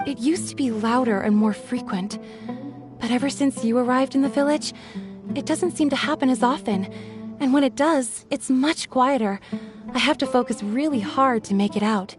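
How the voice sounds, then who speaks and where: A woman voicing a withdrawn young village woman in a video game, soft-spoken, hesitant and guarded. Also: sits high, moderate pace, close to an everyday voice. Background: game background music.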